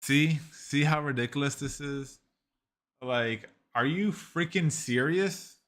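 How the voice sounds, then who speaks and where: A man's voice: on the high side, takes it slowly, fairly bright tone. A man casually reviewing an AI tool, rambling with lots of "like" and restarts. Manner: rambling, heavy use of "like", frequent restarts. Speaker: tech reviewer. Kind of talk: casual screen-recorded software review.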